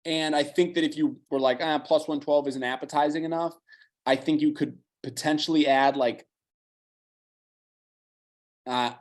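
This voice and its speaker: A slightly hoarse man co-hosting a podcast, talking bets in a fast, analytical, casual way with the odd swear. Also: on the high side, steady tone. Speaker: podcast co-host. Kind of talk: casual betting chat.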